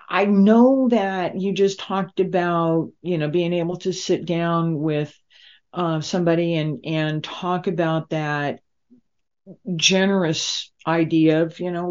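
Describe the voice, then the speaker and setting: A woman conducts an interview in a slightly low voice. She speaks slowly and deliberately, pausing within her phrases, and the recording sounds dull and lo-fi. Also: steady tone, a little mellow. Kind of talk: interview, discussing a character.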